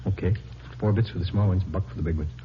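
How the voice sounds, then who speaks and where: A man acting a sleazy peddler of smut who talks fast and presses every sale on you. His voice is low, and the vintage radio recording is dull, with a clear hiss behind it. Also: close to an everyday voice. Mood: salesy. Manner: wheedling, pushy salesman. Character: sleazy male peddler of dirty books, pushy. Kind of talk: 1950s radio drama acting.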